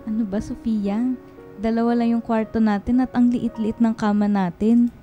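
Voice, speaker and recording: A woman's voice: fairly high pitch, level tone, normal speed, warm tone, highs cut off, natural voice. A woman playing a shy, scolding schoolgirl, the older sister, in a radio drama. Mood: chiding. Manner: reserved, chiding. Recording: music bed behind the voice.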